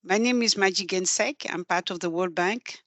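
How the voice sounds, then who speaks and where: A woman with a Senegalese accent presenting in an earnest, measured, storytelling way in a prepared talk. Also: on the low side, fairly bright tone, no room sound. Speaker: presenter from a development organisation.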